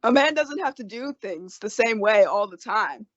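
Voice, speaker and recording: A woman's voice: on the high side, animated, moderate pace, dry recording, lo-fi sound. A woman in an old-time western radio play, voicing a crusty, teasing, long-winded frontier doctor.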